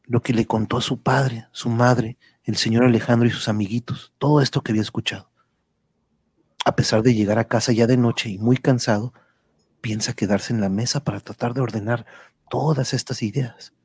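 A man with a husky voice narrating a scripted radio drama as a storyteller. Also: mid-range voice, fairly even intonation, moderate pace, a little mellow, no room sound.

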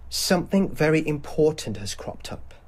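An actor playing a coolly insistent, sceptical police detective questioning a suspect in a radio drama. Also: male voice, fairly high pitch, sing-song, normal speed, darkish tone, husky. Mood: cool, pressing.

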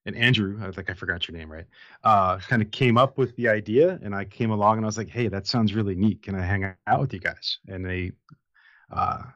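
A man from Tennessee, chatting as a guest on a video call in a friendly, fast, rambling way. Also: on the low side, fairly bright tone, no room sound, dull audio. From Tennessee, USA. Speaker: guest, enterprise architect. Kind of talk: casual video-call chat.

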